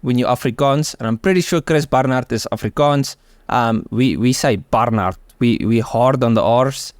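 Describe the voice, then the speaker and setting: A man's voice: medium pitch, normal speed, no echo, studio quality. A man with a South African accent narrating a car video, enthusiastic and mixing scripted lines with offhand asides. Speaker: car channel host.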